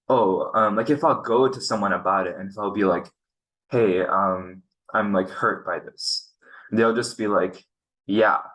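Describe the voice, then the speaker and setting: Young male podcast host from Pakistan; low voice, frustrated, hesitant restarts. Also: a bit bright, low-quality recording. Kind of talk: casual conversation.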